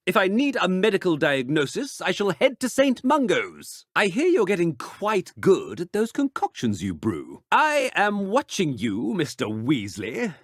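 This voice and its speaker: An actor playing a fussy, suspicious British wizard-school authority figure in a video game, with a pompous, halting, scolding manner. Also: male voice, sits high, huge pitch swings, no room sound, clean studio sound, exaggerated voice. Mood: suspicious, stern. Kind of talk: video game character dialogue.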